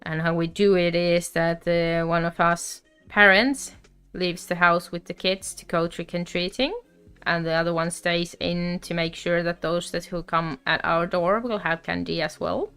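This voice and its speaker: Woman, smooth low voice. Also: little pitch movement, fairly bright tone, soft background music. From Finland. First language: Finnish. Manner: chatty and unhurried, with frequent fillers. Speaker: crochet livestream host. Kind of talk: casual live crafting chat.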